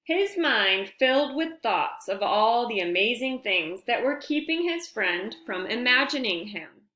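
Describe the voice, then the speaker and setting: A woman reading a picture book aloud to young children, with expressive, deliberate phrasing and friendly asides. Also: fairly high pitch, roomy sound, rough recording.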